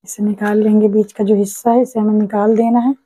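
A woman's voice: medium pitch, level tone, fairly quick, warm tone, husky, no room sound, poor audio. A woman hosting a cooking channel, patiently walking viewers through a recipe step by step and often calling them friends. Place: home kitchen.

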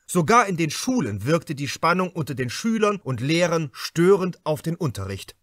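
A man narrating a crime novel as an audiobook, in measured, deliberate phrases with dramatic emphasis. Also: a little high, big pitch swings, a bit bright, no room sound, studio quality.

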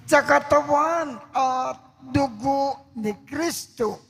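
Very slow, solemn male priest. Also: very high-pitched, fairly bright tone, a little hiss or hum. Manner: solemn, measured, deliberate phrasing. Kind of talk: reciting the Mass liturgy. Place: large church.

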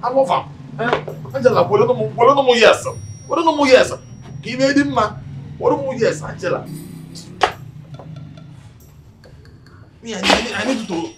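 A man's voice: high voice, normal speed, noisy background, natural voice. A man with a Nigerian accent playing a boastful, lovesick, ever-hungry house worker in a comic film, dramatic and full of repeated exclamations. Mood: lovestruck, agitated. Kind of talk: comic film acting in Pidgin. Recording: roomy echo, faint music behind.

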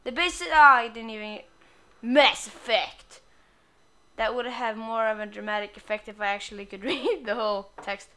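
A woman's voice: fairly high pitch, moderate pace, pushed and bright. A woman gamer giving casual commentary on a playthrough in a crude, sweary, playful way.

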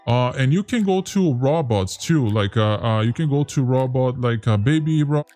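A man speaking through a pitch-lowering voice changer as a deep robotic character, casually explaining software. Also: expressive, normal speed, faint music behind. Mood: flat explaining.

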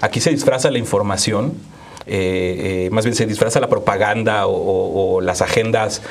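A Mexican man in his thirties speaks as a guest on a studio panel. His voice is on the low side, and he gives his opinions conversationally, pausing to search for words. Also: creaky. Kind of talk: conversational political commentary. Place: small dark quiet studio, seated at table.

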